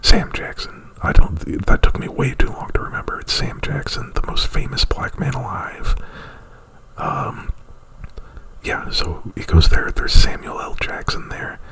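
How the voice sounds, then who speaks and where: A man whispering an ASMR retelling of a movie plot right up at the mic, in a halting, rambling, informal way. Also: mid-range voice, wildly swooping pitch, fairly bright tone, rough recording. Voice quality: breathy whisper. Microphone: very close to the mic.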